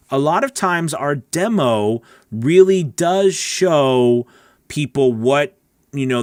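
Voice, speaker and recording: A man's voice: mid-range voice, no room sound. A middle-aged man giving a casual coaching talk as host, conversational and often checking in with the listener, recorded close on a studio microphone. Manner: conversational, asks rhetorical questions, checks in with "okay?" and "right?". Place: small home recording studio. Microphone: large studio microphone on a boom arm, close.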